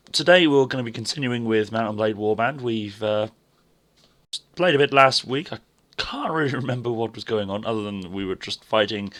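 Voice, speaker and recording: Young male streamer, hoarse and lowish voice, chatty self-deprecating delivery with rambling asides. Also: fairly bright tone. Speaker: game streamer. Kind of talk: casual live-stream chat.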